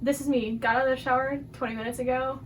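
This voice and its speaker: A woman's voice: sits high, fairly even intonation, fast, distant mic. A woman of twenty, talking candidly and bluntly in an outgoing, chatty way. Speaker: interviewee. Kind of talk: candid casual talk. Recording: noisy background hiss.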